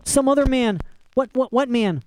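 Storybook inventor, male, frantic and imploring, pitched well up, played by a male reader. Slightly noisy recording. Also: lively intonation, normal speed, darkish tone. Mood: bewildered, desperate. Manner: pleading, breathless wonder. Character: frantic pleading inventor, tearful and enraptured man.

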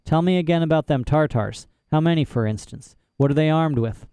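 A man's voice: fairly high pitch, a little fast, mellow, clear, resonant tone, dry recording, voice pushed up, natural voice. A man voicing an impatient older clan leader in a storybook reading, blunt and rustic in speech.

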